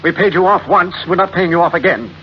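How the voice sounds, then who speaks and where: A man's voice: high voice, fast talker, natural voice. Man acting a stern protective friend, dull recording. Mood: angry. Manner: stern, blunt, scornful. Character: indignant, protective male friend of a blackmailed woman. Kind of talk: 1940s radio acting. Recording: dull, noisy old radio recording.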